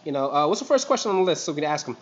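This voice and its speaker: Guy host talking fast and slangy, casual banter, muffled recording. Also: on the high side, sing-song. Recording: muffled audio.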